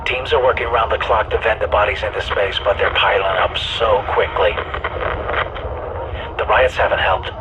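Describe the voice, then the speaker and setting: A man playing a weary ship's officer in a sci-fi game, giving measured, solemn, formal log reports aboard a doomed starship. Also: on the low side, flat delivery, heavy background noise, natural voice. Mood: despairing.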